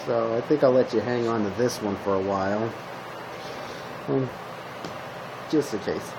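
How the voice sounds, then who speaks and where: Male, mid-range voice, calm survivor role in an improvised roleplay, unhurried short fragments, clear hum on a homemade recording. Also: medium pitch. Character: calm male zombie apocalypse survivor giving weapon tips. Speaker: roleplay video creator playing a zombie survivor. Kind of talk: casual improvised roleplay monologue to the listener.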